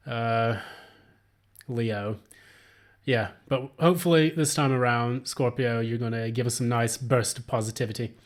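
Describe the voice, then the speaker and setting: A man gives a relaxed solo tarot reading in a middle-range voice. His voice is still a little hoarse as it recovers, and he rambles along with plenty of ums and restarts. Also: medium pitch, measured pace. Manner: rambling, many filler words and restarts. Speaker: tarot reader.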